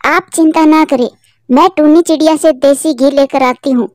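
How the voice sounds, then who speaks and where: A woman's voice: high-pitched, fairly even intonation, moderate pace, darkish tone, no room sound, studio quality, close to an everyday voice. A woman voicing a worried, loyal female pigeon wife in a cartoon. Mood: anxious. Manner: soothing, anxious.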